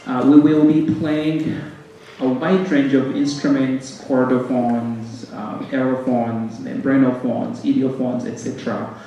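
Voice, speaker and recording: A man with a Ugandan accent giving a halting welcome speech as director of a music ensemble, with frequent pauses and repeated words. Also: mid-range voice, flat delivery, warm tone, lo-fi sound. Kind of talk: welcome speech to a concert audience. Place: concert stage in a hall. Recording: very echoey hall, distant mic, faint noise.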